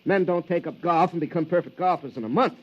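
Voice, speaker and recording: A man's voice: a little high, fast talker, close to an everyday voice. A man playing a plain-spoken, stubborn pro golfer in a 1950s radio drama, terse and understated in his words. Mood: troubled. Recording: muffled vintage 1950s radio recording.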